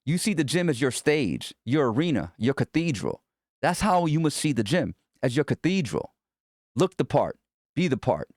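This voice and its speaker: A man's voice: a little high, sing-song, normal speed, a little mellow, no room sound, studio quality. A man reading his own self-help book aloud in a conversational, motivational way, speaking directly to the listener. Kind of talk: scripted self-help audiobook narration.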